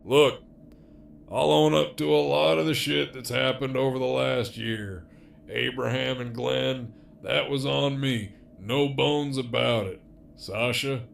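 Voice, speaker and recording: A heavily gravelly man's voice acting out a role as a cocky, teasing villain behind bars in an audio drama. He takes his time, drawling with sardonic bite, over faint background noise. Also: mid-range voice, a bit bright, exaggerated voice.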